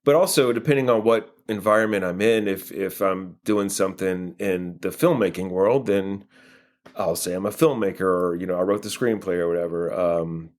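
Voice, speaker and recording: An American man answering interview questions casually, in a halting way as he thinks aloud. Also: low-pitched, a little mellow. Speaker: guest playwright and filmmaker.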